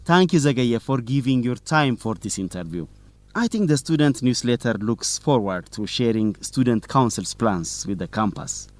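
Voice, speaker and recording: A man's voice: medium pitch, lively intonation, moderate pace, a little mellow, lightly acted. A man playing a polite, formal young student reporter in a scripted educational radio drama. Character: polite young male student newsletter interviewer. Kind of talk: scripted radio drama acting for English learners.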